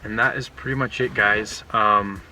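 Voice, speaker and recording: A man's voice: lowish voice, fairly even intonation, moderate pace, bright, forceful tone. A young man giving a casual hands-on video walkthrough with informal, laid-back phrasing, recorded in and around a car. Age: young adult. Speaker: vlogger showing a car radio install. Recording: noisy, distant camera mic around a vehicle.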